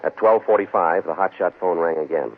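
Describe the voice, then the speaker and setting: A terse, flat-voiced police detective, with a very low voice and brisk delivery. Heard through a tinny old radio broadcast with light hiss. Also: male voice. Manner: flat, deadpan, matter-of-fact. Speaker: detective and narrator. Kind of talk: clipped 1950s radio drama narration.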